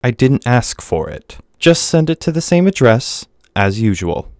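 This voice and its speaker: A man's voice: medium pitch, very animated, unhurried, a little mellow, dry recording, clean studio sound. A man playing a curt, aloof rich male customer in a visual novel, terse and cool.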